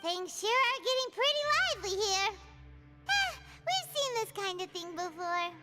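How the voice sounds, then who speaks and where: A woman voicing a small, cheerful, curious fairy companion in a video game, squeaky and childlike, perky and full of exclamations. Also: big pitch swings, unhurried, full character voice. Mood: amused. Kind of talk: video game character dialogue. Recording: music behind.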